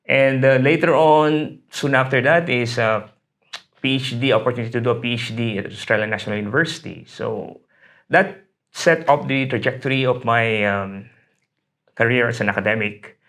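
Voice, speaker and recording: A middle-aged man from the Philippines speaks as the guest in an interview. His pitch sits in an ordinary middle range. He talks thoughtfully but hesitantly, often repeating words and pausing on fillers before finishing a thought. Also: fairly bright tone. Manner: hesitant, many fillers and restarts. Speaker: interview guest, history academic. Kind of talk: conversational interview.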